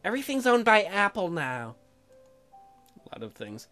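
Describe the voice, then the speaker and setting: Animated man doing irreverent game commentary. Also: high voice, unhurried, soft background music. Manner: joking, irreverent. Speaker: let's play host. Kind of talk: game commentary mixed with reading on-screen text aloud.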